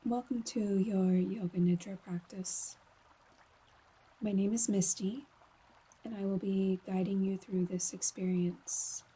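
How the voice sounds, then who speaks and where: A woman guiding a slow meditation in a soft, breathy voice, often near a whisper, calm and soothing, with long pauses. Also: mid-range voice, low-quality recording. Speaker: yoga nidra guide.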